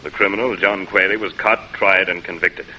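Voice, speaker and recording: Casting: indignant, self-important executive, sharp and fairly low, old radio mystery style with faint noise. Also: male voice, steady tone, normal speed. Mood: impatient, guarded. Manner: pompous, blustering, clipped. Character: gruff, indignant, self-important male company boss. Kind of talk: 1940s radio drama acting. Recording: muffled, noisy vintage radio recording.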